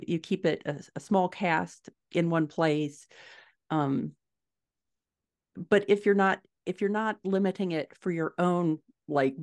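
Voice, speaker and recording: A middle-aged American woman explaining things conversationally as an interview guest, thinking aloud on a video call from home. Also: sits low. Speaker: guest author and podcaster. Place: living room at home, indoors, on a video call.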